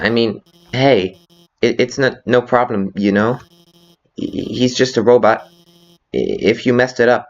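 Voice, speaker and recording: A man voicing a nervous, guilt-ridden scientist with a heavy stutter in a fan dub of a video game. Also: fairly low pitch. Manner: heavy stutter, halting, anxious. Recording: slightly dull lo-fi audio.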